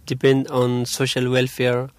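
A young man from Afghanistan, answering interview questions about his English in a halting, hesitant way. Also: mid-range voice, completely flat delivery, no echo. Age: young adult. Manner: hesitant, halting, many pauses.

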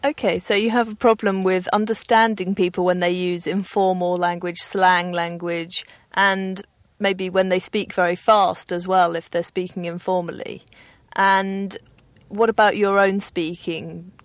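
Measured woman radio presenter, dry sound. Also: lowish voice, flat delivery, no echo. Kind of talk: radio interview and narration.

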